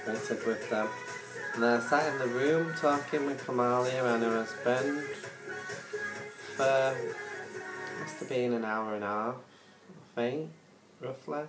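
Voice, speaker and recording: A man's voice: mid-range voice, a little slow, rough recording. A man with a Yorkshire accent telling a story to camera in a hesitant, earnest way, with lots of ums. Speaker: vlogger. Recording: loud music playing underneath.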